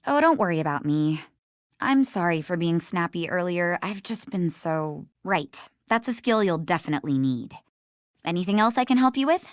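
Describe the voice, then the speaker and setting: A woman's voice: lowish voice, sing-song, moderate pace, no echo, studio quality. An actress playing a busy, caring woman in a video game, protective yet helpful, with a casual, earnest manner. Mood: apologetic, helpful.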